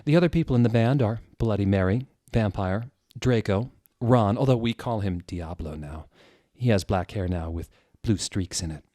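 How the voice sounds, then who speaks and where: A man's voice: lowish voice, normal speed, dark tone, dry recording, clean studio sound. A man hosting a dramatic comedic reading, theatrical and wry, with casual asides. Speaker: host reading fan fiction aloud.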